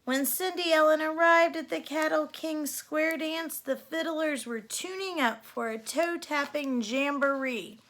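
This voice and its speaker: High-voiced woman reading a storybook aloud. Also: unhurried, few pauses, a bit bright, a little hiss or hum. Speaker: storybook reader. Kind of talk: expressive read-aloud children's story narration.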